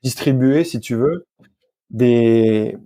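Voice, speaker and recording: A man's voice: medium pitch, takes it slowly, dark tone. A man from France recounting his work casually as a podcast guest, in informal, colloquial speech with repeated words and self-corrections. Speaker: podcast guest, sports scientist and physical coach. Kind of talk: casual interview storytelling.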